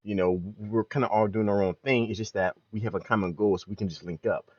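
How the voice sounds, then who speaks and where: A young man giving casual, slangy reaction commentary, playful and expressive, close to the microphone. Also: on the low side, quick pace, dry recording, muffled recording. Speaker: reaction video host.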